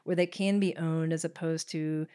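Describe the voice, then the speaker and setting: Woman, filmmaker on a podcast panel, lowish voice, kinda halting, searching for words. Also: fairly even intonation, mellow, clear, resonant tone. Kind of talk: casual panel discussion.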